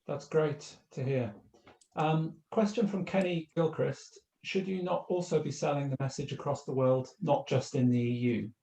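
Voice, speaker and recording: A man hosting, reading out audience questions in a measured way. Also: fairly high pitch, steady tone, vocal fry, dark tone, a little hiss or hum. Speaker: host. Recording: roomy echo.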